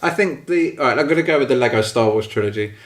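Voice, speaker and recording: British guy, medium pitch voice, casual podcast chat about games n films. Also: animated, fast, over a noisy crowd. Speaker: podcast co-host.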